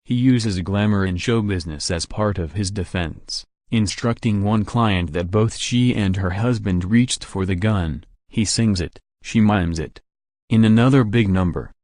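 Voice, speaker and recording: A man narrating entertainment news in a steady, scripted read with no fillers. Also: fairly low pitch, no echo, studio quality.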